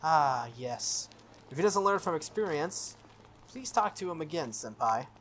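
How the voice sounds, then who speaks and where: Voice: mid-range voice, expressive, unhurried, fairly bright tone, slight background noise. A game player voicing a shy, polite schoolgirl from a visual novel, hesitant and apologetic. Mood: timid, polite.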